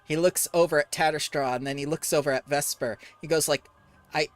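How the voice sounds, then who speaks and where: A game master narrating a tabletop roleplaying session, animated and laughing often. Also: mid-range voice, a little fast, no room sound, soft background music.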